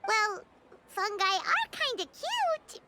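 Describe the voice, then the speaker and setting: Chirpy childlike fairy helper for an anime game, extremely high and wildly animated, eager to help. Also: female voice, takes it slowly, exaggerated voice. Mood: curious, helpful. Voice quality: squeaky, childlike. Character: small childlike female fairy companion, chirpy and helpful. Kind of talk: anime video game voice acting. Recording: loud game music underneath.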